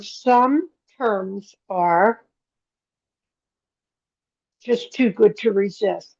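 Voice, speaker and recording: A woman's voice: mid-range voice, a little mellow. A woman reading aloud from a book as a participant in a study group, recorded in dull, lo-fi sound. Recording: dull lo-fi audio.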